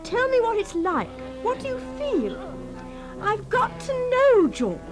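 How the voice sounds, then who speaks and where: A woman's voice: very high-pitched, huge pitch swings, unhurried, over music, heavily acted. An actress playing a clinging, melodramatic wife in her thirties in a radio drama, pleading and sighing theatrically. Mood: frightened, frantic.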